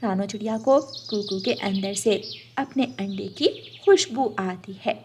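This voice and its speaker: A woman's voice: mid-range voice, unhurried, a little mellow, slight background noise. A woman reading scripted narration for a children's moral story.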